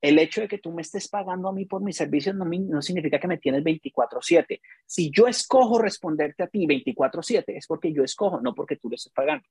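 A Colombian man with a slightly raised voice and a husky edge, talking as a business coach. He tells stories emphatically and acts out dialogue in a dry, close recording. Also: a little high, animated, fast talker, hardly any pauses, a little mellow, no echo. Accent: Colombian Spanish. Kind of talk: casual podcast chat.

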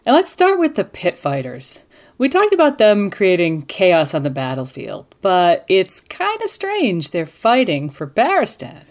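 A woman's voice: fairly low pitch, wildly swooping pitch, a little slow. A woman hosting a podcast discussion, summing up and steering the topics in an organised way. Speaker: podcast host. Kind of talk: hosting a discussion, partly scripted.

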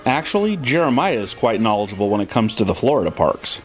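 A man's voice: medium pitch, very animated, quick pace, soft background music, natural voice. A man playing an eager, slightly clueless chef friend in a comedy audio drama, earnest and ribbing. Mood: earnest, curious.

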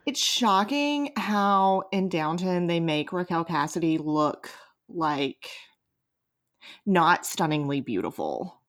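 A woman's voice: on the low side, expressive, slow. A woman hosting a podcast in casual, informal, emphatic conversation. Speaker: podcast host. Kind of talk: casual chat.

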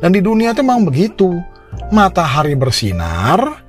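A man's voice: sits high, huge pitch swings, moderate pace. Indonesian man preaching over prominent music. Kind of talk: informal sermon, direct advice to listeners.

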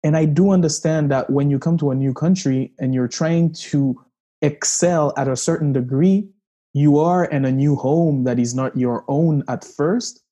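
Halting host from Haiti, mellow voice. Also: male voice, a little high. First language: Haitian Creole. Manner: halting, with many "uh" fillers. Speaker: interview host. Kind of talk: interview conversation.

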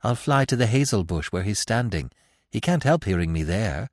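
A man voicing a gossipy, self-justifying female magpie with a croaking voice in a storybook. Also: fairly low pitch, lively intonation, normal speed, no room sound, studio quality. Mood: aggrieved.

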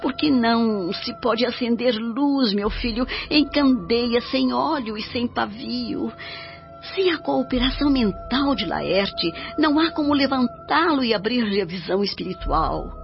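A woman playing a serene, devoted older mother spirit in a radio drama, speaking in a solemn, measured, instructive way. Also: on the high side, animated, creaky. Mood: gentle, earnest. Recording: dull radio drama track with music.

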